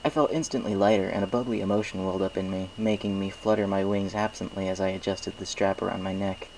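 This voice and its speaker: Low-voiced man narrating a story. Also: steady tone, quick pace, a little mellow, slight background noise, poor audio. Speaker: fan fiction narrator. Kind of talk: scripted first-person story narration.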